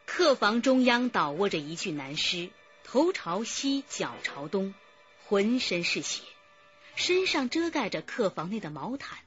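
Female crime-drama narrator: expressive intonation, scripted delivery, slightly muffled recording with light music. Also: mid-range voice, unhurried. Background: background music.

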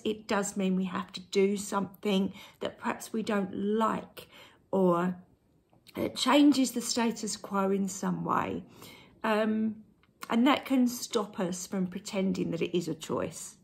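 Hoarse, gravelly woman reading tarot. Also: medium pitch. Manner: gentle and reflective, asks rhetorical tag questions. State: hoarse, losing her voice. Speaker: tarot reader. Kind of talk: casual tarot reading to camera. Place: indoor room at home. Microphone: phone camera at arm's length.

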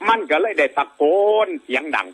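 A man's voice: fairly quick, a bit bright. A man with an Isan Thai accent, a monk telling a moral fable to lay listeners in a rambling, folksy way, full of filler sounds and frequent checks that the audience agrees. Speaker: Buddhist monk giving a dharma talk.

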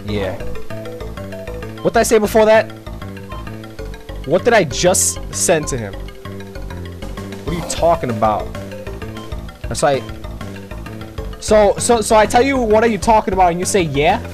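Excitable, swooping young male gamer. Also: high voice, a little slow, pauses often. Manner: profane, slangy, excitable, talking to himself. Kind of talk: casual gameplay commentary. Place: at home at night. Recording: music behind the voice. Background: music.

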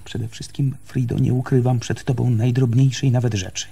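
An actor playing a stubborn, defensive man in a radio play, arguing haltingly and insistently with his lover in a husky voice. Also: mid-range voice, fairly even intonation, warm tone. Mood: indignant. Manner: halting, insistent, repeats phrases. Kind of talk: Polish radio play acting.

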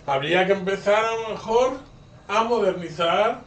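A man's voice: high voice, normal speed, roomy sound, slight background noise. A man with an accent from Spain hosting a casual cooking video in a home kitchen, chatty and curious, asking eager questions while recorded on a 360 camera.

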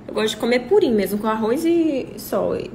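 A young woman of nineteen chatting casually and softly at home, being filmed on a phone. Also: fairly high pitch, animated, quick pace, roomy sound, slight background noise. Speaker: partner on the vlog. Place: indoors at home.

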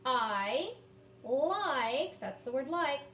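Slow-paced woman teaching, roomy distant sound. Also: high-pitched, sing-song, sharp tone. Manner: deliberate, sounds words out, encouraging. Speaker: early-grades teacher. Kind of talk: children's phonics lesson and read-aloud. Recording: roomy, distant mic, background noise.

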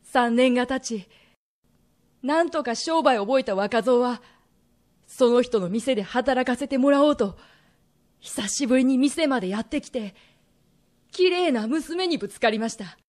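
A woman's voice: a little high, animated, measured pace, pauses often, a little mellow. A woman playing a remorseful, earnest young merchant in a radio drama, telling his story as an emotional confession. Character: reformed young merchant man, earnest, remorseful, pleading son.

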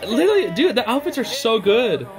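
High-pitched, animated young man reacting. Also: a little fast. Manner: excitable, slangy. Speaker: reaction video host. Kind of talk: casual live reaction commentary. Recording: music playing behind the voice. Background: stage show music.

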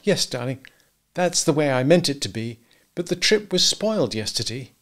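A male narrator plays a cool, unrepentant wife. The voice is lifted and soft, sweetly placating yet dismissive and taunting, in a dramatised storybook style. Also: on the high side, big pitch swings, moderate pace, voice pushed up.

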